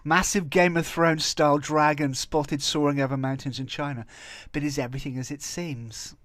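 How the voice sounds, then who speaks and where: A man's voice: fairly high pitch, steady tone, normal speed, a bit bright, no room sound. Chatty Welsh man with a close, dry sound. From Wales. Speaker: video host commenting on viral clips and tabloid stories. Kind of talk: casual off-the-cuff commentary.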